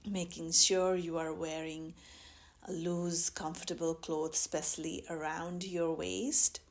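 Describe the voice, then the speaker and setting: A woman teaching mindfulness, guiding a meditation in a gentle, measured way with long pauses between phrases. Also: lowish voice, level tone. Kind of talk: guided meditation instruction.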